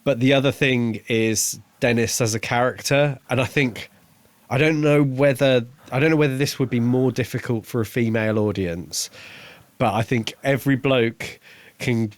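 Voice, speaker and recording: A man's voice: mid-range voice, normal speed, dry recording. A man co-hosting a podcast, talking informally and enthusiastically in a casual book review chat. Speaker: podcast co-host.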